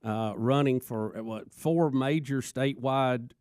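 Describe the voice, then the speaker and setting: A man's voice: medium pitch, measured pace, a little mellow, clear, resonant tone, no echo. Man, radio co-host, earnest opinions, mid voice, dry sound. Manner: earnest opinionated, repeats words. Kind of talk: call-in radio talk.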